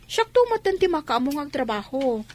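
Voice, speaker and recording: A woman's voice: high-pitched, big pitch swings, normal speed, darkish tone. An actress with an Ilocano accent playing an anxious, dutiful young female student in a radio drama.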